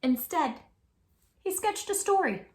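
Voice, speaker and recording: High, animated woman reading to children. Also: a little slow, roomy sound. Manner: animated, asks listeners questions, dramatic emphasis and hushed asides. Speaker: children's storytime host. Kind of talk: expressive picture-book read-aloud for young children.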